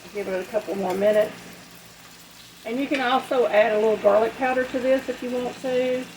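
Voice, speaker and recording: A woman chatting casually and in a folksy, unhurried way as she demonstrates home cooking. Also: a little high, steady tone, darkish tone, low-quality recording. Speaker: home cook hosting a recipe video. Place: home kitchen at the stove. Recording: clear background kitchen noise, frying sizzle. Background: sizzling skillet, stirring.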